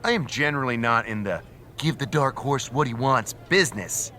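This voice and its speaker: A man's voice: mid-range voice, animated, normal speed, sharp tone. A man acting as a smug, gloating wrestling general manager in a game cutscene, boastful and sneering.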